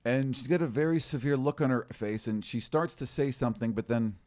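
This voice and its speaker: A man's voice: medium pitch, brisk, a little mellow, no room sound. A man in his thirties or forties narrating a tabletop game as game master in a casual, descriptive way, close on a podcast mic. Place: small indoor studio room. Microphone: close podcast microphone.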